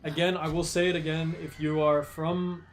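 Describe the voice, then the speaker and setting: A man's voice: on the high side, level tone, normal speed. A man explaining a gameplay speedrun tutorial in a casual, rambling way, his voice tired. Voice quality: chatty, rambling, self-correcting. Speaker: speedrunner streamer.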